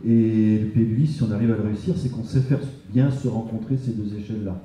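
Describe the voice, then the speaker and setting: A man giving spontaneous interview testimony, explaining in a halting way. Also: fairly low pitch, steady tone, mellow. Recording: very echoey room, faint background noise.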